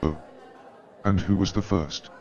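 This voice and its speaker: A man's voice: low voice, steady tone, a little slow, darkish tone, faint music behind. An actor playing Watson, a lonely, hearty and eager ex-army man, in a comic staged radio play. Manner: exuberant, hearty. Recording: dull band-limited sound, crowd murmur behind.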